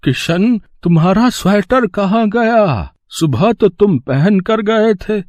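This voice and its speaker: A man voicing a poor, kindly, selfless older family man in an animated moral story, affectionate and reassuring. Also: sits high, takes it slowly, no room sound. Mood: curious.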